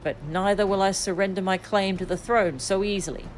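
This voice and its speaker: A woman voicing an earnest, expressive young princess from a video game. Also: medium pitch, a little fast, a little hiss or hum, close to an everyday voice. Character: earnest, excitable young princess afraid of flying. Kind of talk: video game dialogue read aloud.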